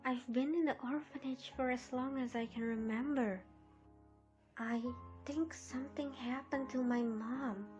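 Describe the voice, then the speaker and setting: A woman's voice: sits high, steady tone, darkish tone, dull audio, faint music behind. A woman voicing a timid five-year-old orphan filly who talks hesitantly. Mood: shy. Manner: hesitant, slow and shy.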